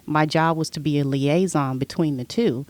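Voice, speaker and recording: A middle-aged woman, a podcast guest, telling stories from her past in a relaxed, conversational way. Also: deep voice, moderate pace, no echo. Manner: relaxed storyteller, recounting her past at length. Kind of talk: casual interview chat.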